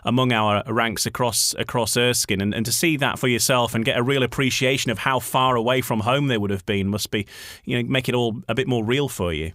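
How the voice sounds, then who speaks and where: A man's voice: mid-range voice, fairly even intonation, brisk, fairly bright tone, no room sound, studio quality. A man hosting a radio interview, hesitant, with many fillers and restarts. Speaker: radio host.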